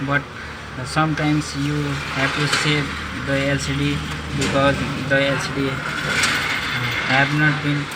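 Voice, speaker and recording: A young man, a native Nepali speaker, talking through a phone repair in halting English. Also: on the high side. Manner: halting non-native English, step-by-step instructions. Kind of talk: repair tutorial. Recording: loud background noise.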